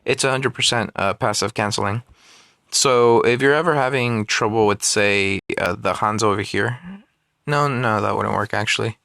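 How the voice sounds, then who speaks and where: A man's voice: lowish voice, steady tone, moderate pace, gravelly, sharp tone, no room sound, studio quality. A man giving casual commentary on a mobile game, rambling with many fillers and restarts, recorded on a phone.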